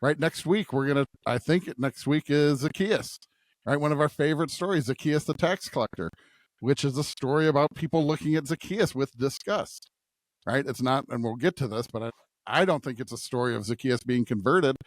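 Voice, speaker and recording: A male podcast host with a medium-pitched voice talks through scripture in a conversational way. He works out his points as he goes and often checks in with a short questioning tag. The sound is dry, with little room around it. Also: brisk, no room sound, rough recording. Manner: thinks aloud, often tags points with "right?".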